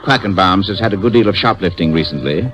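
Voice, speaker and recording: An actor playing a prim, officious department store manager who speaks formally and hesitantly in a 1950s radio drama. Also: male voice, deep voice, quick pace, fairly bright tone. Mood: prim, brisk. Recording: vintage 1950s radio recording, faint music.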